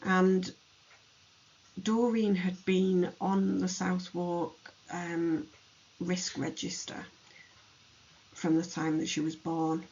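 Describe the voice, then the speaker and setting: Hoarse, gravelly podcast host; slow, reflective delivery; slightly dull recording with faint hiss. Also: mid-range voice, flat delivery, darkish tone. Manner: slow, thoughtful, with many pauses and ums. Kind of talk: educational true-crime narration.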